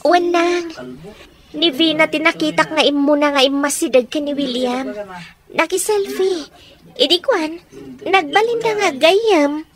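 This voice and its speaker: Young chatty daughter, really high girly pitch, ilocano accent, radio drama. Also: measured pace, long pauses, crowd noise. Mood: confiding. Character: young daughter chattily confiding in her mother.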